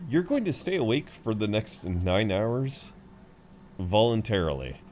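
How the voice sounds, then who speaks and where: A man's voice: fairly low pitch, big pitch swings, unhurried, darkish tone, slight background noise. A man playing a chatty, good-humoured frequent flyer who rambles kindly to a seatmate, in an intimate roleplay audio. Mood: friendly, rambling. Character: chatty, friendly tall male frequent flyer comforting seatmate. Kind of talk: intimate roleplay audio acting, one side of conversation.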